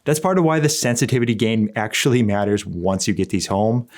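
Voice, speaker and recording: A man's voice: on the low side, brisk. A man narrating a conversational scripted review as an equipment reviewer, explaining things in a plain-spoken, opinionated way.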